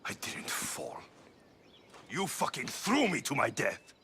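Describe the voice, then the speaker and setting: Gravelly, volatile young mercenary hero. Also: male voice, mid-range voice, huge pitch swings, takes it slowly, a little hiss or hum, full character voice. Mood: angry, accusing. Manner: intense, swinging from hushed to shouting. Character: wronged Spartan-born mercenary son, bitter, passionate young man. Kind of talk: dramatic video game acting.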